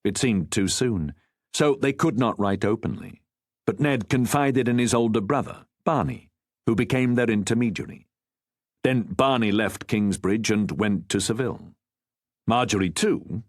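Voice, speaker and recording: A man narrating a historical novel as an audiobook, with a measured, formal storytelling delivery. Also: fairly low pitch, dry recording, studio quality.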